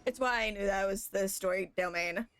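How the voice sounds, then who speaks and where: A woman's voice: medium pitch, moderate pace, fairly bright tone, a little hiss or hum. A woman commenting casually on a game as a co-player. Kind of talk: casual gameplay chat.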